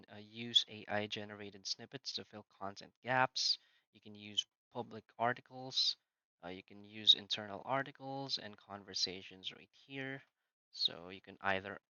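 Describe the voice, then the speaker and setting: Man, tutorial narrator, lowish voice, sharp bright tone, dry dull lo-fi sound, step-by-step with filler words. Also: clean tone, no room sound. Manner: plain step-by-step instructions, frequent "so" and "uh". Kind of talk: screen-recorded walkthrough narration.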